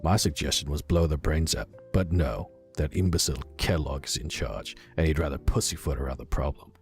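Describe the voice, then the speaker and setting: A man's voice: deep voice, lively intonation, moderate pace, darkish tone. A man playing an irritable, wary field operative reading out his personal log. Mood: bitter, irritated. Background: music.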